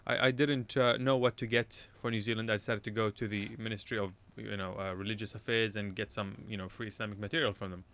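A man's voice: fairly low pitch, flat delivery, fast, few pauses, a bit bright. Rambling man telling a story. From Iraq. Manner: rambling, self-correcting, laughs at himself. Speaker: radio show guest. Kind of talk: casual anecdote storytelling.

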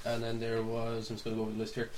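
A man with a mid-pitched voice co-hosts a casual podcast. He talks very quickly, rambling wryly through his explanations. A faint hiss sits under the recording. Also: monotone, a little mellow, low-quality recording. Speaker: podcast co-host. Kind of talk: casual banter about films and games.

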